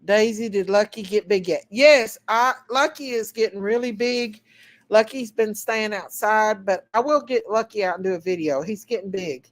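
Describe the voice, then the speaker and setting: A woman's voice: mid-range voice, normal speed. A middle-aged woman from southeast Kentucky with an Eastern Kentucky Appalachian accent, chatting folksily with her livestream viewers and laughing easily. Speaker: channel host. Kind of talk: casual livestream chat reading comments.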